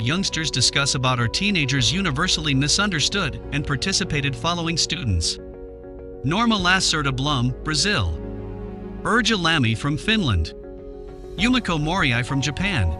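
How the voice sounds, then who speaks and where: A man hosting a channel, introducing an archive video with non-native phrasing. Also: on the high side. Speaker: channel host. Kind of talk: YouTube intro narration. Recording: voice over loud music.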